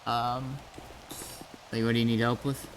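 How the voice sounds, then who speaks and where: A man's voice: mid-range voice, flat delivery, unhurried, slight background noise, low-quality recording. A man casually guiding newcomers through an online game, explaining step by step and somewhat hesitantly. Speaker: experienced game server player guiding newcomers.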